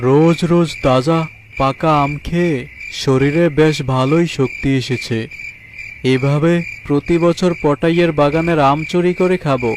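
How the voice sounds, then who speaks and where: A man's voice: fairly high pitch, moderate pace, a little hiss or hum, natural voice. A man voicing a greedy, sneaky thieving tiger in a cartoon, gloating and talking to himself. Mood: smug. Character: greedy sneaky male tiger, a mango thief. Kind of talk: cartoon voice acting.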